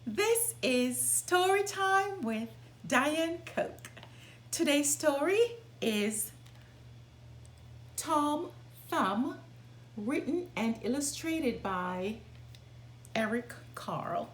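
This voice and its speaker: A woman reading a children's story aloud as a storytime host, with expressive, deliberate delivery. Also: high voice.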